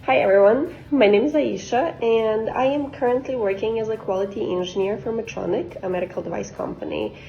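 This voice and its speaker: A woman's voice: on the high side, animated, fairly quick, few pauses, dark tone. A woman in her twenties from Bosnia, talking informally and explaining her work as a guest speaker. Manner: conversational, explanatory. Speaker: guest speaker, quality engineer. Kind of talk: informal career talk. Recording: dull, lo-fi sound.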